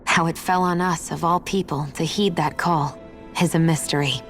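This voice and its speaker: An actress playing a guarded, reflective young heroine in a video game, speaking thoughtfully and earnestly. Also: female voice, low-pitched, steady tone, moderate pace. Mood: somber, reflective. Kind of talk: dramatic video game cutscene acting. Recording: music playing behind the voice.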